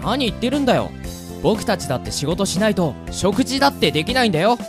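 A woman's voice: medium pitch, big pitch swings, fast talker, over music, natural voice. A woman playing a polite, sensible younger brother, an earnest young mage who chides his elder, in a Japanese fantasy radio drama. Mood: chiding.

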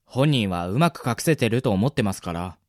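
A man's voice: fairly low pitch, expressive, normal speed, no echo, studio quality, natural voice. A man acting a polite, easygoing young florist's son in a radio drama. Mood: sheepish, matter-of-fact. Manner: polite, easygoing, soft-mannered speech. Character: polite, cheerful young son minding a flower shop.

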